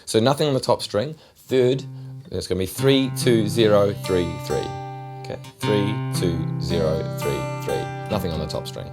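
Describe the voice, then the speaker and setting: A middle-aged man with a New Zealand accent, giving a relaxed, chatty guitar lesson. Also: on the low side, moderate pace. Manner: relaxed, chatty, repeats himself while demonstrating. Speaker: guitar teacher. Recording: loud guitar over the voice. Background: acoustic guitar playing.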